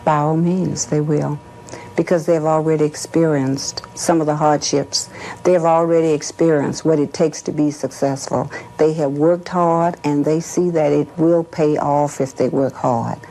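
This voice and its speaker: A middle-aged woman, an educator, giving formal interview answers in emphatic, measured phrases. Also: low-pitched, fairly even intonation, gravelly, mellow, rough recording. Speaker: school educator being interviewed.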